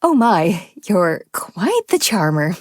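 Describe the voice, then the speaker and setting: A coy, kindly female shop clerk voiced by an actress for a visual novel game, easily flustered and flirty. She speaks slowly with big swings in pitch, recorded very dry. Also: big pitch swings, slightly rough, no room sound, heavily acted. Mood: amused, flirty. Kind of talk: visual novel character acting.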